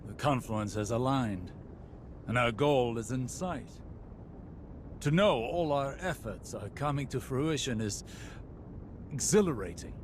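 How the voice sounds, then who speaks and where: An actor playing a stoic older sage in a video game, formal and deliberate, weary yet resolute. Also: male voice, mid-range voice, expressive, lightly acted. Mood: quietly exhilarated. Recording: clear background ambience noise.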